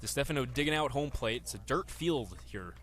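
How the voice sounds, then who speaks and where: A man's voice: mid-range voice, lively intonation, brisk, fairly bright tone. A man in his twenties calling a baseball game live as a radio play-by-play announcer. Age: 20s. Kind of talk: live sports commentary.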